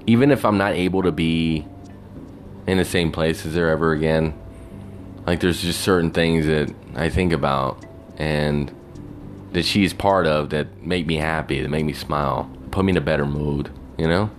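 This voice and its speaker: Really low-voiced guy rambling about memories, wistful, lots of "you know", faint music in the back. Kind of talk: rambling personal monologue.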